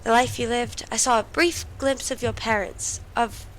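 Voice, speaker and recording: A woman's voice: on the high side, steady tone, normal speed, a bit bright, natural voice, rough recording. A woman playing an earnest, wounded, devoted boy in an audio drama, speaking imploringly and intimately. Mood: pleading, earnest. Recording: faint background noise and ambience.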